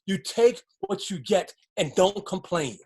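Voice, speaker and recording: An actress plays a woman who has survived disaster and clings to what little she has. Her voice is mid-pitched and a touch husky. She speaks as in a stage drama, pragmatic and cautioning, wary of any risk. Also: expressive, measured pace, a little mellow. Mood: firm, defensive. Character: wary, resigned flood survivor clinging to a cramped shelter. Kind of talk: stage play dialogue.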